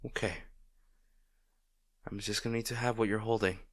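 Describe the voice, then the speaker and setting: A man playing a gentle, protective boyfriend in an intimate roleplay audio, speaking in a tender, reassuring way. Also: on the low side, steady tone, normal speed, no room sound, studio quality, lightly acted. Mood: tender, soothing. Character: gentle, protective boyfriend comforting a distraught partner.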